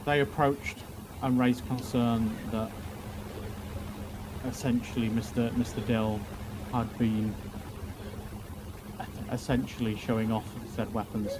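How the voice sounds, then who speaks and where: A man testifying as a witness in a roleplay court, talking formally and haltingly, often repeating words. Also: medium pitch, flat delivery, background noise.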